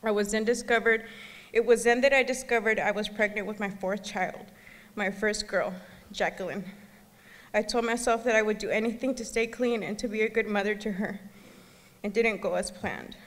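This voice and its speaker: A woman in her thirties, born in El Salvador, giving an earnest personal testimony at a gala, speaking with occasional halting restarts. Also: medium pitch, fairly even intonation. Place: gala banquet hall. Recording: long reverb of a large hall.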